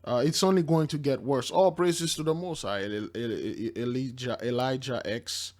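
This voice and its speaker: Guy doing informal religious commentary, emphatic, repeats himself, medium pitched voice. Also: normal speed, a little mellow. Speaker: commentator reacting to news clips and viewer comments.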